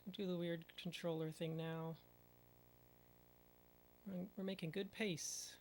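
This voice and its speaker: Speedrunner at mid pitch with some vocal fry, casual play-by-play, mild oaths, talks to the screen. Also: level tone, takes it slowly, a little mellow, slight background noise, poor audio. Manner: mutters at the game, uses mild minced oaths. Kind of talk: casual gameplay commentary.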